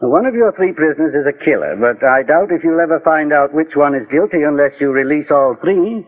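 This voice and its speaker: An actor playing a composed, authoritative American private investigator in a 1940s radio drama, speaking formally and deliberately. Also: male voice, on the high side, animated, narrow, tinny audio. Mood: firm, confident. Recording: thin, band-limited 1940s radio recording.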